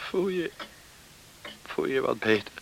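A woman acting an anxious figure at a sickbed in a radio play, with a creaky voice. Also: very deep voice, expressive, a little slow, full character voice. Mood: tender, hushed. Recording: faintly hissy radio play recording.

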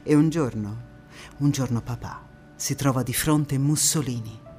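A woman playing a proud, defiant anti-fascist partisan who recalls her youth in a theatrical monologue, with measured phrasing and deliberate pauses. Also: extremely low pitch, warm tone, soft background music. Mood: indignant, suspenseful. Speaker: stage actress in a one-woman monologue. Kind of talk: theatrical first-person storytelling monologue.

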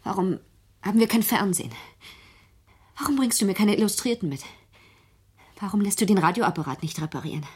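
A woman playing a fearful, accusing, sheltered young daughter in an intimate radio play, quiet and brooding, with a breathy, husky delivery. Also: medium pitch, fairly quick, pauses often. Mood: agitated.

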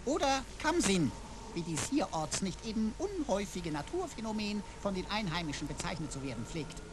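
An actor playing a smug, wisecracking computer companion who talks in pompous, florid, mock-learned phrases in a radio play. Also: male voice, high-pitched, very animated, normal speed, background noise. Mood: smug, pedantic. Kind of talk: German radio play acting.

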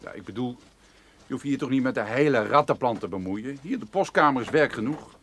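An actor playing a grumpy, bossy mailroom chief in a radio drama, gruff, scolding and sarcastic. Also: male voice, medium pitch, animated, brisk, fairly bright tone, slight background noise, natural voice.

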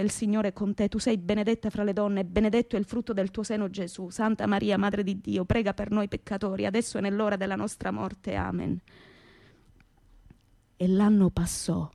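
A woman's voice: mid-range voice, mellow, dry recording, clean studio sound, natural voice. An actress playing a devout young working-class woman with a Calabrian Italian accent, telling her story earnestly and emotively in a radio theatre monologue. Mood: hushed, pleading prayer. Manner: emotive storytelling in plain, dialect-tinged popular speech.

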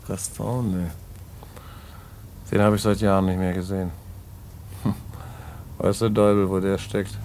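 Radio drama: jailed petty crook, low-pitched voice, colloquial, rueful, streetwise and fatalistic. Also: male voice, level tone, unhurried, pauses often, a little mellow, a little hiss or hum. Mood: musing. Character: streetwise male small-time crook in jail, wistful, fatalistic. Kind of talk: naturalistic German radio play acting.

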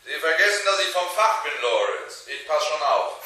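A man's voice: fairly high pitch, animated, normal speed, bright, forceful tone. A man playing a proud, self-assured specialist in a radio play. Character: proud male expert colleague. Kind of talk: 1980s German radio play acting. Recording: very echoey, noisy, distant.

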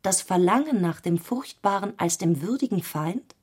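Eine Schauspielerin spricht in einem literarischen Hörspiel eine grüblerische, knappe, fragende innere Stimme einer Frau. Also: female voice, mid-range voice, expressive, moderate pace, darkish tone, breathy edge. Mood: brooding. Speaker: second narrating voice in a literary radio play. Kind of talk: literary radio drama narration.

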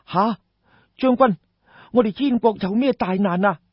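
Man voicing an anxious, high-pitched young Cantonese nobleman. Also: a little slow, slightly rough, dry recording, dull audio. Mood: alarmed. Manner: eager, questioning, startled. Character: anxious young nobleman, naive, polite, easily shocked.

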